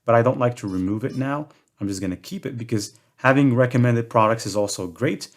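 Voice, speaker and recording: A man's voice: mid-range voice, brisk. A young man from Morocco hosting a screen-recorded tutorial, talking in a casual way with self-deprecating jokes. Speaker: tutorial host.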